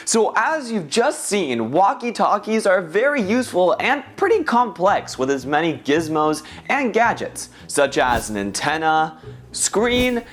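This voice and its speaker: A young American man presenting a scripted explainer in an upbeat, expressive way. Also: high voice, measured pace. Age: young adult. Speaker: science video presenter. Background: background music.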